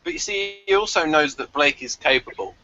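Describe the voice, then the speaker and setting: A man's voice: fairly high pitch, expressive, brisk, pushed and bright, dull audio, faint music behind. A man hosting a casual panel discussion, explaining things in a chatty, emphatic way. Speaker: host of a TV show review discussion.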